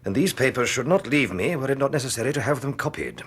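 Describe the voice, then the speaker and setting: An actor playing a formal, authoritative older British aristocrat and government minister in a radio drama. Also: male voice, mid-range voice, lively intonation, fast talker, fairly bright tone, breathy edge. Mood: grave, confidential.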